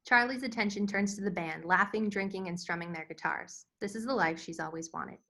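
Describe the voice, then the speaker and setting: Bright-voiced woman reading screenplay directions. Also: mid-range voice, a little fast. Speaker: stage directions reader. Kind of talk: scripted narration.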